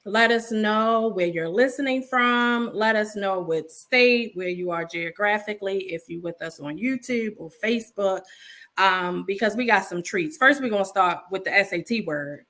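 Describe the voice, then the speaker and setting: A woman hosting a live online interview show, lively and upbeat, talking straight to her viewers. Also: mid-range voice, normal speed. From Baltimore, USA. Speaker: show host.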